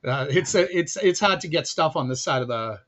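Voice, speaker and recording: A man's voice: on the high side, lively intonation, a little fast, a bit bright. A man from Chicago chatting as a podcast guest, talking freely with many restarts and laughs. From Chicago, USA. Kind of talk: casual conversation. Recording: dull lo-fi audio.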